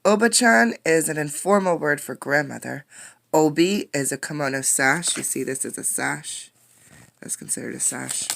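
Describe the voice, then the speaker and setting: A woman's voice: low voice, normal speed, gravelly, fairly bright tone. A woman reading a children's picture book aloud as a teacher, expressively, explaining words and putting questions to the listeners. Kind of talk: interactive children's read-aloud.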